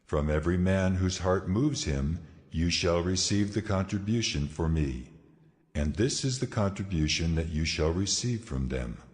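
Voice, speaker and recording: An actor as deep, reverberant, commanding voice of God. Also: male voice, expressive. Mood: solemn, commanding. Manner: solemn, deliberate, authoritative. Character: God, commanding male divine voice, solemn and majestic. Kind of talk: dramatized scripture reading. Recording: long hall reverb, music behind.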